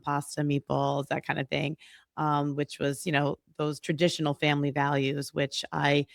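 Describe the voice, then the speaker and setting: Female podcast guest from New York, mid pitch, gravelly voice, chatty, dry sound. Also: completely flat delivery, normal speed, no echo. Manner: warm, chatty, laughs easily. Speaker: guest, massage and reiki therapist. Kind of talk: casual interview.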